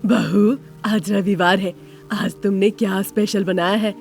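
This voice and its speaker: A woman's voice: mid-range voice, steady tone, measured pace, darkish tone, faint music behind, natural voice. A woman voicing a stern, quarrelsome older mother-in-law in a scolding, sarcastic manner for a family story.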